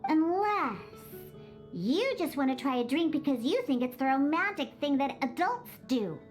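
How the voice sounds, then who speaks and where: A woman's voice: high voice, sing-song, normal speed. A woman voicing a grumpy young catgirl bartender in a video game, huffy, haughty and sulky. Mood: haughty, skeptical. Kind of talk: animated game voice acting. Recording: music behind the voice.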